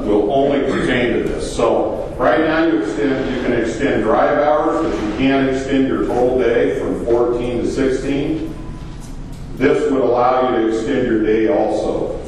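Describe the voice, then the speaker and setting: A man's voice: mid-range voice, a little mellow, a little hiss or hum. Big-voiced guy giving a talk through a PA, loose and plainspoken, super echoey hall, heard from far back. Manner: plainspoken, loose, with many fillers. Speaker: trucking company owner giving a talk. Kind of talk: conference presentation. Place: large echoey conference room.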